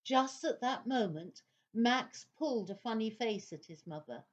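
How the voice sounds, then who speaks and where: A woman narrating a children's story from a script in a theatrical, deliberate manner. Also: fairly high pitch, lively intonation, highs cut off.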